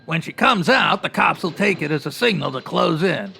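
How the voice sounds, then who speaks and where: A man playing an excitable, fretful newspaperman sidekick in a 1940s radio style comedy, with punchy period slang. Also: a little high, normal speed, creaky, slight background noise, exaggerated voice. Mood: eager. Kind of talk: 1940s radio crime drama acting.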